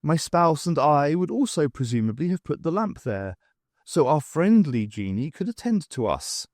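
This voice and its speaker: A man voicing a brisk, clever adventurous woman who explains things in an audiobook. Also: fairly high pitch, very animated, darkish tone, dry recording, clean studio sound. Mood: explaining. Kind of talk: audiobook character voice.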